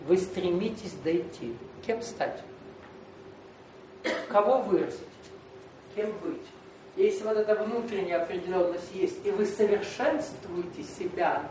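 A man's voice: high voice, a little mellow. A man lecturing to a gathered group as a spiritual teacher, explaining and asking rhetorical questions. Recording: echoey hall, recorded from a distance with faint noise.

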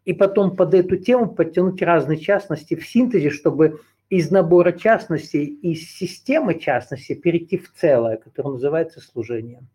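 A man leading a group session, pitched a little high for a man, speaking off the cuff in long, winding explanations. He hesitates with fillers and keeps circling back to restate his ideas. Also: lively intonation, moderate pace, warm tone, breathy edge. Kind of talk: unscripted esoteric lecture.

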